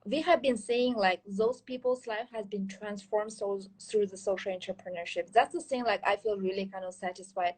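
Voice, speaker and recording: A woman's voice: medium pitch, steady tone, normal speed, few pauses, rough recording. A woman in her thirties from Kyrgyzstan, with a Kyrgyz accent, speaking English as a second language. She is a guest in a casual interview and talks earnestly, with many fillers and restarts. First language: Chinese. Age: 30s.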